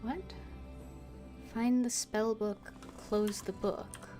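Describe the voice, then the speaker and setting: A woman streams a game in an unhurried, casual way. She often mutters to herself as she reads and thinks. Soft game music plays behind her. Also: mid-range voice, level tone. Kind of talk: casual game livestream, voicing her character's lines.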